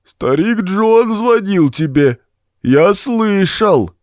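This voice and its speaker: Crazed dimwit thug for a horror audiobook, strained screechy high voice, howling threats, drags words out. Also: male voice, lively intonation, no room sound, clean studio sound, full character voice. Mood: agitated. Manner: bellowing, howling threats in slow bursts. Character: big deranged simple-minded young brute, menacing.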